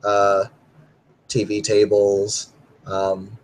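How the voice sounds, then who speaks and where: A man's voice: on the low side, monotone, extremely slow, rough recording. A man casually narrating his character in a tabletop roleplay, rambling with many fillers. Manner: rambling, filler-heavy, laughs while talking.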